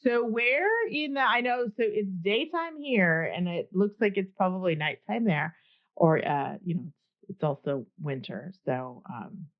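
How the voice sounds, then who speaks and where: A woman's voice: medium pitch, animated, a little slow, lo-fi sound. A woman hosting a podcast, chatty and upbeat, interviewing a guest over a remote call. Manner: upbeat, chatty, laughs often. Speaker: podcast host. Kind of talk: casual interview chat.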